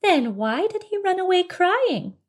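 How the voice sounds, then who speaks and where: A woman voicing a kindly but firm good fairy in a storybook, with a sing-song, gently scolding delivery. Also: very high voice, big pitch swings, a little slow, voice pushed up, heavily acted. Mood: firm warning.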